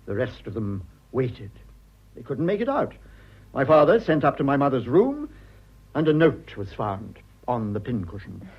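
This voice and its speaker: An actor playing a prim, fussy, earnest son with an upper-class English accent in a radio drama. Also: male voice, medium pitch, huge pitch swings, moderate pace, breathy edge, highs cut off, exaggerated voice. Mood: indignant, rueful. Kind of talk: stage comedy of manners radio acting.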